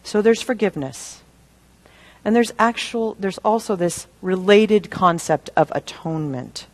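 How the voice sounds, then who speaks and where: A woman minister delivering a sermon in a measured, deliberate way, using rhetorical questions and repetition for emphasis. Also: mid-range voice. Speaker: minister preaching.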